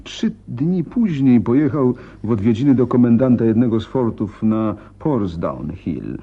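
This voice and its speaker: An actor in a radio drama playing a frightened, helpless young gentleman, telling his story hesitantly and dramatically, with pauses. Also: mid-range voice, very animated, slightly rough, muffled recording, full character voice. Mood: anxious, narrating.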